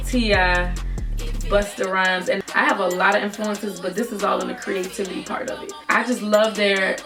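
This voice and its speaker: A young woman rapper with a naturally raspy voice, giving casual, earnest advice to her viewers. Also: mid-range voice. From Virginia, United States. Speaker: rapper and vlogger. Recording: voice from a distance with faint music.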